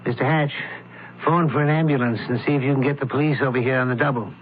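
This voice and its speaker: A man playing a shrewd, composed doctor sleuth with a measured, probing, courteous manner in a radio drama. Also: mid-range voice, fairly even intonation, natural voice. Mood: firm, accusing. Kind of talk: old-time radio drama acting. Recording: thin, noisy phone-like vintage radio recording.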